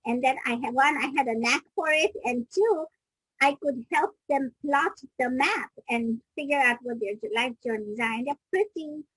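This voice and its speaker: A middle-aged woman from Kenya talks through her story as an interview guest, pausing and restarting her sentences as she reflects. Her voice sits fairly high and sounds husky. The recording is dry and close, with no room sound. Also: fairly bright tone, no echo, poor audio. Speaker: guest, business coach.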